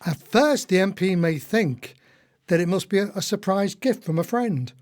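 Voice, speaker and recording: A man's voice: high voice, lively intonation, normal speed, darkish tone. A man playing an earnest, cynical retired husband in a radio play, speaking in a declamatory way. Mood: intrigued.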